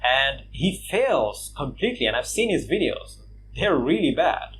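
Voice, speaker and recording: A man from Bangladesh giving casual response commentary on a video, mocking his opponent with scoffing laughs and lots of "uh" and "you know" pauses. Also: fairly high pitch, big pitch swings, fairly bright tone, slight background noise, poor audio. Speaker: YouTube commentator.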